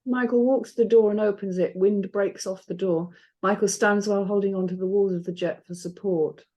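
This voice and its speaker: A woman's voice: medium pitch, fairly even intonation, a little fast, warm tone. A woman reading out stage directions as scripted narration.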